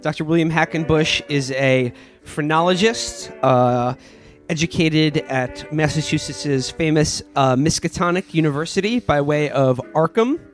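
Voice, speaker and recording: A man's voice: fairly high pitch, unhurried. A man playing a tabletop game, casually introducing his character in a hesitant, repetitive way. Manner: hesitant, repeats words. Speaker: player in a comedy tabletop game. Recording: faint music under the voice.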